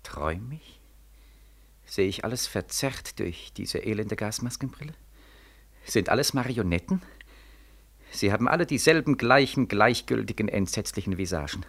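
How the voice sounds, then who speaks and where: An impassioned, bitter young war veteran. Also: male voice, on the low side, animated, normal speed, creaky, long pauses, a bit bright, exaggerated voice. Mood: despairing, bitter. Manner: impassioned, declamatory, bitterly sarcastic, insistently repetitive. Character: embittered young soldier back from war, desperate and accusing. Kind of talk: 1940s radio play acting. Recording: vintage 1940s radio recording.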